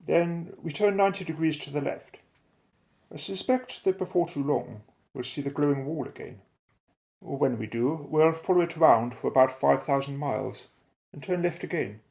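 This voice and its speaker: A man playing an unflappable, methodical explorer and airship pilot in an audio drama, speaking in a measured, old-fashioned, explanatory way. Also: on the high side, vocal fry, darkish tone. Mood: thoughtful.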